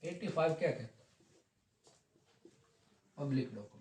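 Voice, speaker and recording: A man teaching a law class, reading legal text slowly and then explaining it conversationally to students. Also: a little high, expressive, a little mellow. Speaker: law lecturer. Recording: echoey classroom.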